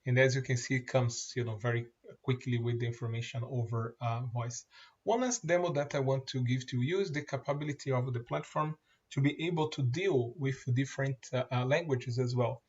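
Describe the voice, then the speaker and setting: Male presenter, native Portuguese speaker, demoing software, mid pitch, halting delivery, muffled recording. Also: breathy edge. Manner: halting, many fillers and restarts. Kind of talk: screen-share product demo.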